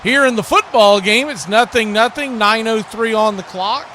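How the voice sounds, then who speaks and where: Male play-by-play sports announcer, slightly high voice, folksy and excitable, noisy live recording. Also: moderate pace. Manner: folksy, excitable on big plays. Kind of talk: live football play-by-play commentary with asides to viewers. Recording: clear background noise.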